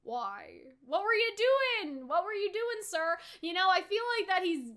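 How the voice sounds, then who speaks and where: Young woman, high pitch, bright sharp tone, casual reaction commentary, animated, rambling, filler-heavy. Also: a little slow. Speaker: anime reaction YouTuber. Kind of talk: casual reaction and review chat.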